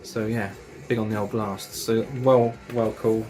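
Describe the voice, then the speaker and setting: A man's voice: lowish voice, flat delivery, measured pace, music in the background. A man casually reviewing metal records and tapes, enthusiastic and chatty, with slang and swearing.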